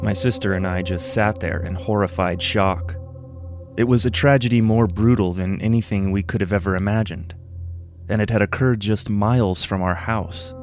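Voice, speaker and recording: A man reading a scripted first-person story as narrator, in a measured, solemn manner. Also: on the low side, fairly even intonation, darkish tone, a little hiss or hum. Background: music.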